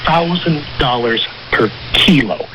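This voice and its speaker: A man's voice: mid-range voice, lively intonation, slow. A man explaining things in a radio interview, emphatic and repeating phrases for weight, on a shortwave broadcast. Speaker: interview guest, criminal defense attorney. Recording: very noisy shortwave radio reception. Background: loud radio static.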